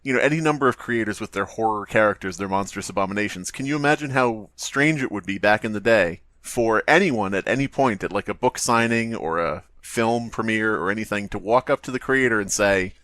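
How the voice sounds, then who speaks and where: A man's voice: lowish voice, brisk, hardly any pauses, a bit bright, dry recording. A man co-hosting a podcast in casual comedic chat, with a sardonic, incredulous manner. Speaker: podcast co-host.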